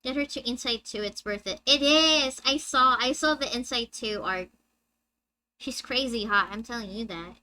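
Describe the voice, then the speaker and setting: A young woman with a high-pitched, squeaky voice, chatting with her viewers on a live game stream and reading the story text aloud. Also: moderate pace, sharp tone. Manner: chatty, reads dialogue aloud and answers chat.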